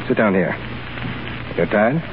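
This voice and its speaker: An actor playing a stern male revolutionary leader who speaks in a low, firm way, heard on a 1930s radio broadcast. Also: measured pace, phone-quality sound, background noise, natural voice.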